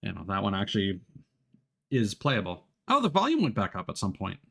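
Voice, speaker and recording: A man's voice: lowish voice, wildly swooping pitch, a little fast, creaky. A man giving casual livestream gameplay commentary, muttering reactions with some mild swearing. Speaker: video game livestreamer. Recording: loud game music behind voice.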